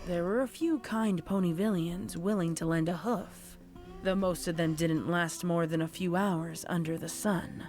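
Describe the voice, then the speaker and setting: A woman's voice: on the low side, steady tone, a little slow, a little mellow, soft background music. A woman reading scripted fiction as a storybook narrator. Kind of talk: scripted audiobook-style horror fan fiction narration.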